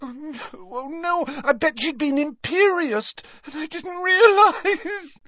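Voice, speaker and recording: A man voicing a distraught, sobbing teenage schoolgirl in a tearful, halting way for a story. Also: very high-pitched, bright, forceful tone, muffled recording, pitched above the performer's own voice, heavily acted.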